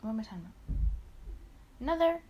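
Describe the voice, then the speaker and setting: A woman's voice: a little high, very animated, quick pace, a little mellow, husky. A woman hosting a casual let's play, rambling and talking to herself in asides as she goes. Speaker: host of a gaming let's play series. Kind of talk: casual let's play, chatting and reading visual novel dialogue aloud.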